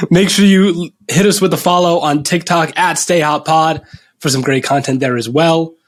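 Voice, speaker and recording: A man's voice: fairly high pitch, fairly even intonation, normal speed. A young man hosting a casual sports podcast, upbeat and joking as he runs the show. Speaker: podcast host.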